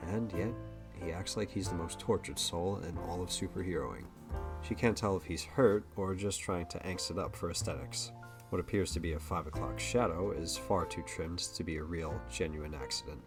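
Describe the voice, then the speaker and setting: A man's voice: low voice, steady tone, moderate pace. A man reading scripted narration for an audio drama. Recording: guitar music behind the voice.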